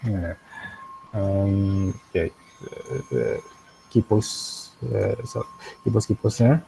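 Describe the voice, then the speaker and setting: A man with an Indonesian accent teaching an animation software tutorial, thinking aloud in a halting way with frequent pauses. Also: low voice, lively intonation, slight background noise, poor audio. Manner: halting, pausing often, frequent throat clearing. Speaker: animation instructor.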